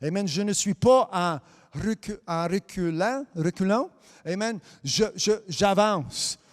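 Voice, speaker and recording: High-voiced man preaching amid long reverb. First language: English. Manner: peppers lines with amen and hallelujah, halting restarts, sometimes sing-song. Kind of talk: sermon. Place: church hall.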